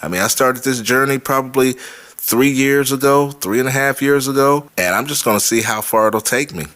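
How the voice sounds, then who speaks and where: A man's voice: medium pitch, moderate pace, a bit bright. A man hosting a vlog, talking informally to his viewers and repeating points for emphasis. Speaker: host of a money and real estate vlog. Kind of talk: casual vlog talk to viewers.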